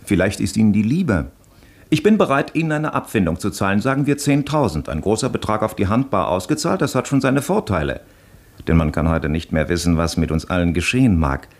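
An aristocratic baron in a radio drama. His voice is very low. He speaks with formal, measured courtesy, as a scrupulous and kindly employer would. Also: expressive. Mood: formal, considerate. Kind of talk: radio play acting.

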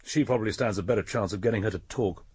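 Husky, shrewd English police inspector. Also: male voice, lowish voice, a little mellow, no room sound. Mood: musing, businesslike. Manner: measured, probing. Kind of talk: British radio drama acting.

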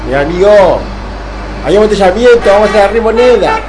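A man playing a scrappy, friendly Argentine street windscreen washer in a radio comedy-drama, pleading and familiar in a husky voice. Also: high voice, expressive, fairly quick, noisy background. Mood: pleading, insistent. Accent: Argentine (Rioplatense).

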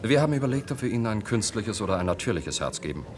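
Deep-voiced, coldly courteous doctor. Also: male voice, big pitch swings, rapid-fire, soft background music. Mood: persuasive. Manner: polite, condescending, threatening. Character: coldly courteous, menacing male clinic doctor. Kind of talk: German radio play acting.

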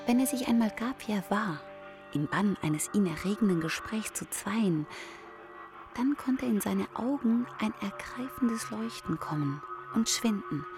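An actress playing a solemn memoirist in a German radio drama, giving a measured literary recitation. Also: female voice, medium pitch, animated. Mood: solemn, haunted. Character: solemn female memoirist recalling a brooding man. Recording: music behind the voice.

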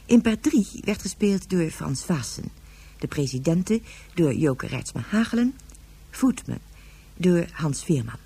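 A woman's voice: low voice, mellow, husky. A woman announcer reading scripted closing credits for a radio drama series.

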